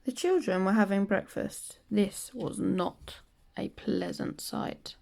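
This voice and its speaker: A woman's voice: medium pitch, slow pace, gravelly, darkish tone, slight background noise. A woman narrating a children's picture book from a script as a storybook reader.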